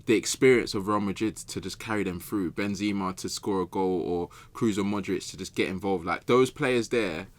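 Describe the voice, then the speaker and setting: A man's voice: sits low, moderate pace, hardly any pauses. A man giving his take as a football podcast pundit in casual, slangy speech, often repeating phrases for emphasis. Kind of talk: casual sports chat.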